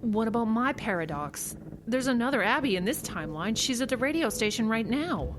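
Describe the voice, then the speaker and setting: An actress playing an anxious, questioning female time traveler in an audio drama, with an expressive delivery. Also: on the high side, a little fast, noisy background.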